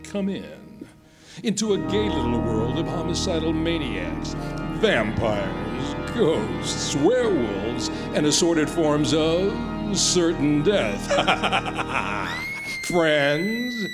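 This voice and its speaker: Man as macabre radio horror host, gleeful and mocking, unhurried, swooping pitch, loud music beneath. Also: very animated, heavily acted. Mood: macabre joking. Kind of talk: 1940s radio horror hosting.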